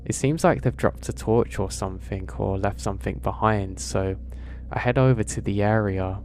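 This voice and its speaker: A man narrating first-person horror stories in a steady, even storytelling read. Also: fairly low pitch, normal speed, vocal fry, a little hiss or hum. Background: background music.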